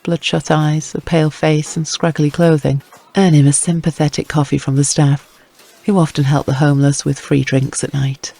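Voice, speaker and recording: A man's voice: on the high side, flat delivery, moderate pace, vocal fry, darkish tone. A man reading scripted dramatic narration as an audiobook narrator.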